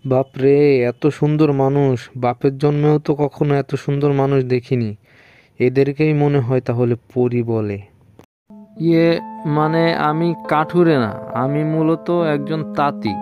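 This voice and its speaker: A man's voice: fairly high pitch, close to an everyday voice. A man playing a stubborn old village weaver in a cartoon, muttering to himself and exclaiming as he complains. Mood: awed, apologetic. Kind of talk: cartoon voice acting. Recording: dull lo-fi audio with music behind.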